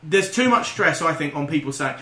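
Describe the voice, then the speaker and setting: A man's voice: fairly high pitch, animated, fairly quick, sharp tone. A man chatting casually and telling anecdotes as a podcast co-host. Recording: roomy echo.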